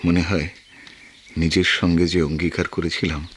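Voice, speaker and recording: An actor with a Bangladeshi accent playing an earnest, brooding war-veteran writer in a radio drama, speaking in a reflective, measured way. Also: male voice, sits low, flat delivery, a little hiss or hum, natural voice. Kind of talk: Bengali radio drama acting.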